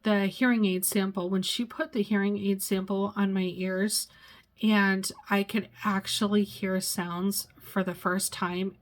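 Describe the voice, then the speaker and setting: Slow, halting woman in her sixties. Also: medium pitch, level tone. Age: 60s. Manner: halting, searches for words, many pauses and fillers. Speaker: vlogger. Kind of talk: personal reflective vlog.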